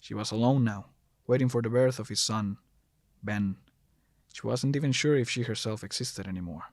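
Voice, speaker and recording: A man's voice: lowish voice, normal speed, darkish tone, no echo. A man delivering scripted, dramatic narration for a horror audio drama, close on the mic.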